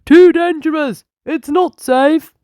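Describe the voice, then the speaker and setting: An angry scrapyard man, put on by a woman shouts warnings in a storybook tale. The voice is pitched high and loud, with a dry, close sound. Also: slow, dry recording. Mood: angry, alarmed. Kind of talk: children's storybook character voice.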